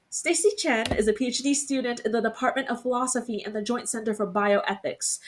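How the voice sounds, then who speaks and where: Young woman, co-moderator, formal intro, measured delivery, video call audio, mid-pitched. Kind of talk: reading a guest introduction.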